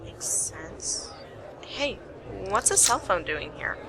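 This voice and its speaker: A woman's voice: lowish voice, big pitch swings, takes it slowly, a crowd in the background, full character voice. A woman playing a wry, determined young private investigator in an amateur radio play. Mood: curious, guarded.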